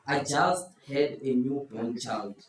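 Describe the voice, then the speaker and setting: A man's voice: mid-range voice, far from the mic. A man leads a casual chat quiz and strings out his clues in a playful, teasing way. He sounds set back from the mic in an echoey room with a faint hiss behind him. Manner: playful, drawn-out clue-giving. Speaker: quiz host. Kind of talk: casual group chat, posing football riddles.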